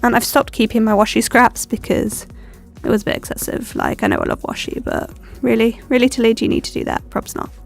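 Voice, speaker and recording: A woman's voice: fairly high pitch, very animated, fairly quick, vocal fry, few pauses, soft background music. A young woman with a stuffy cold, chatting and rambling with lots of ums as she decorates her planner. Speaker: planner vlogger. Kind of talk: chatty plan-with-me narration.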